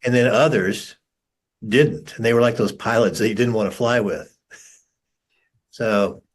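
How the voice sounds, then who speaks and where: Low-voiced middle-aged man chatting casually. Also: unhurried, slightly rough. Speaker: conversation participant and former military pilot. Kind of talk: casual anecdotal chat.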